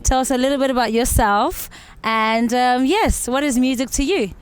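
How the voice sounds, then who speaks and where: A woman with a smooth, clear voice on the higher side hosts a casual interview. She speaks as the presenter, introducing guests and putting questions to them. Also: normal speed. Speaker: interview host.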